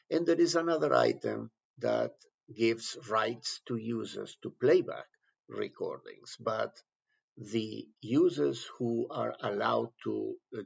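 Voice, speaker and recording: A man with a low, very gravelly voice walks through a software task step by step. He explains in short, halting phrases, as an instructor would. The recording is somewhat dull and lo-fi. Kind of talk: step-by-step software screen tutorial.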